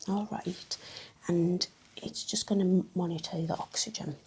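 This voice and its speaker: A woman speaking in a gentle, breathy, mostly whispered voice, playing a reassuring nurse in a soft-spoken ASMR medical roleplay. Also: on the low side, fairly even intonation, normal speed. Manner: gentle, reassuring bedside manner. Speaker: ASMR performer playing a home-visit nurse.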